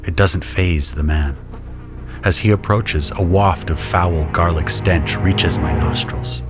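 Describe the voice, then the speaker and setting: A man playing a grim, observant conscript soldier who narrates an audio drama in a measured, solemn way. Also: sits low, music in the background. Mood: grim, watchful. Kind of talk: first-person scripted audio drama narration.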